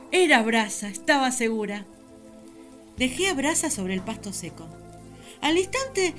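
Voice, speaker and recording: A young, self-assured first woman of Eden. She narrates expressively in a fairly high voice, pausing for drama, full of wonder. Light music plays underneath in radio-theatre style.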